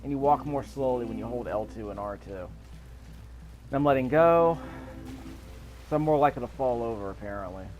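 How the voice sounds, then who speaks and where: A man's voice: mid-range voice, lively intonation, normal speed, pauses often, soft background music. A man giving casual commentary over video gameplay, with an offhand, dryly skeptical way of talking.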